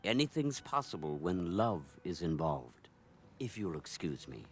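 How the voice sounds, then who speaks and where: A sardonic cartoon crook with airs of refinement. His voice rides huge pitch swings as he purrs out barbs, oily and self-satisfied. Music hums faintly behind him. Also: big pitch swings, measured pace. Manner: smug, sardonic, mock-courtly. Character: scheming grown man, a short stout criminal with refined airs. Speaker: villain. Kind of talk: animated series voice acting.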